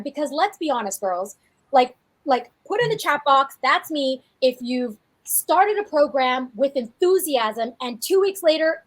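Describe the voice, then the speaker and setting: A woman in her forties with a high, light voice talks as a fitness coach on a podcast. Her delivery is chatty and animated, full of quick restarts. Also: low-quality recording. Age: 40s. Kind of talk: casual podcast chat.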